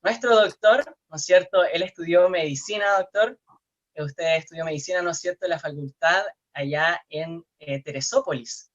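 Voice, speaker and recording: A man's voice: fairly high pitch, dull audio. A man with a Chilean Spanish accent co-hosting an online forum, speaking hesitantly with many fillers and tag questions. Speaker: co-host.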